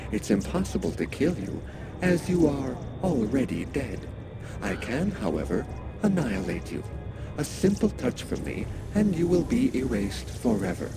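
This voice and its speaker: A menacing supernatural male villain from a video game. His mid-pitched voice is gravelly and coldly measured, with expressive swings. Music runs clearly underneath.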